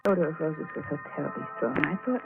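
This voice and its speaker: An actress playing an anxious, haunted wife in a 1940s radio thriller, halting and stammering with breathless dramatic delivery. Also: female voice, low voice, creaky. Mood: haunted narration. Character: anxious, haunted wife slowly unravelling with dread. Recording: tinny vintage radio recording with music.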